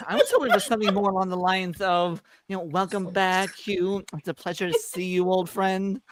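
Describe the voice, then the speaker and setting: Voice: mid-range voice, moderate pace, a little hiss or hum, natural voice. A performer reading the part of a theatrical, teasing godlike alien trickster in a live sci-fi script read.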